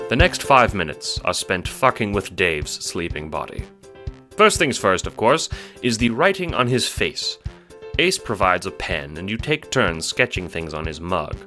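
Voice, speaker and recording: Narrator: male, low-pitched, bright forceful tone, scripted drama storytelling over faint music.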